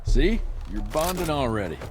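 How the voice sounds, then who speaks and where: A man's voice: medium pitch, very animated, normal speed, lightly acted. A mid-range male voice echoes in a big hall with music behind. An actor plays a threatening, curt character in a game. He is brusque and sarcastic. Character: brusque, threatening man giving curt orders. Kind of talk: video game cutscene acting.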